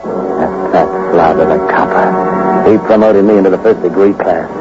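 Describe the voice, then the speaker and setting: A man's voice: sits low, normal speed. An actor playing a desperate young crook in his twenties, narrating in a tense, hardboiled way in a 1940s radio drama. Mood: brooding. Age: 20s. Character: desperate young male crook turned killer. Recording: dull old radio recording with music.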